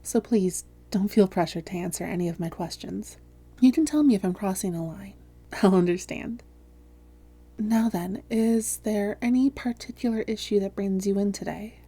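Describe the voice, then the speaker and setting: A woman playing a calm female vampire therapist in a roleplay audio, speaking softly, gently and in a measured, reassuring way. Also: mid-range voice. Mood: gentle, professional. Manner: measured, gentle, reassuring, breathy hushed phrases. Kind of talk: soft-spoken roleplay audio, therapist addressing listener.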